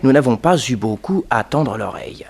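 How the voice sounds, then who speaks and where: An actor as a composed storyteller, giving scripted narration in a 1960s French radio detective drama. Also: male voice, medium pitch, animated, normal speed, creaky, darkish tone, breathy edge. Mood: narrating.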